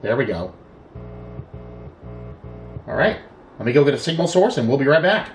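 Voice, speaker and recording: Middle-aged man, medium pitch, casual thinking-aloud demonstration, unhurried, roomy workshop sound. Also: very animated, faint music behind. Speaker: electronics repair hobbyist, host. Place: small indoor home workshop at a bench. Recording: roomy, distant mic with background noise.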